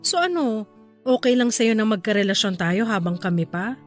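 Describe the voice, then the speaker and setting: A woman's voice: medium pitch, lively intonation, normal speed, soft background music, lightly acted. An actress playing an affectionate young woman stifled by a controlling boyfriend in a Filipino radio drama. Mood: frustrated, worried. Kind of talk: Tagalog radio drama acting.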